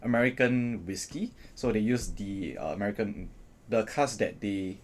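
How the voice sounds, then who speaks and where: A man's voice: fairly low pitch, normal speed. A man co-hosting a casual chat show with a joking, teasing manner. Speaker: talk show co-host.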